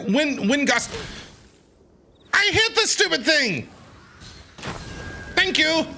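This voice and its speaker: A man giving excited game stream commentary, exclaiming and repeating his phrases in a panicky way. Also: sits high, slight background noise. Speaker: streamer playing and reacting to a video game.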